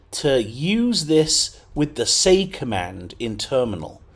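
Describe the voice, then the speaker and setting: Man, tech tutorial host, casual, chatty, fillers. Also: medium pitch. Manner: chatty, lots of fillers and okays. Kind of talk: casual screen-recorded tutorial.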